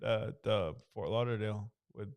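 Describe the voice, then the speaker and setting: A man co-hosting a casual studio chat, quick with asides and laughing often. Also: lowish voice, darkish tone, clear, resonant tone. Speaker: co-host.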